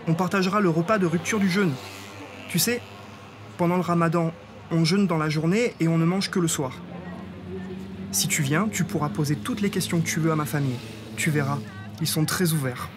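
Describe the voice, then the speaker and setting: Friendly higher-voiced man. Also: noisy background. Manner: relaxed, friendly, open. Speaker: interviewee. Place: outdoor public event.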